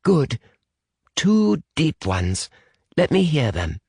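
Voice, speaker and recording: A man's voice: a little high, very animated, takes it slowly, gravelly, a little mellow, husky, no room sound, studio quality, voice pushed up, exaggerated voice. A man voicing a stiff, formal young British man with precise wording, anxious beneath it, in an audiobook story. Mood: soothing.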